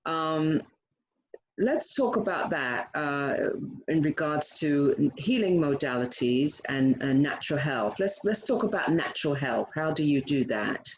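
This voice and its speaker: A woman hosts a conversation, speaking slowly and repeating her words of welcome. Her audio sounds dull and lo-fi, with a faint hiss underneath. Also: sits low, lively intonation. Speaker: host. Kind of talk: casual conversational interview.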